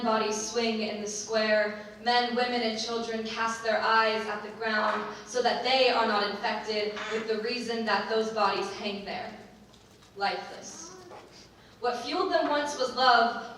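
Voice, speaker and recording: A woman's voice: a little high, a bit bright. Echoey, distant young woman reciting slam poetry. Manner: impassioned, deliberate, emphatic delivery with dramatic pauses. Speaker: student slam poetry performer. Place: large echoing room, live stage performance. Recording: echoey hall, distant mic, faint noise.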